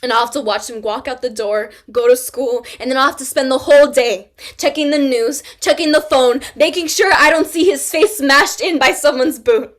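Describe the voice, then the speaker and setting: An actress reading the part of a grieving young pregnant woman, speaking haltingly and dropping to near whispers. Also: high voice. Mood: hopeless. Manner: halting, trailing into quiet, near-whispered confessions. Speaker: actor in a staged play reading. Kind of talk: staged play reading.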